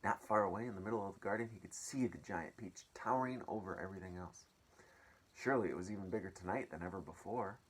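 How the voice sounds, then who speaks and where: A man reading a children's story aloud as an expressive storyteller. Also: deep voice, fast, husky, poor audio.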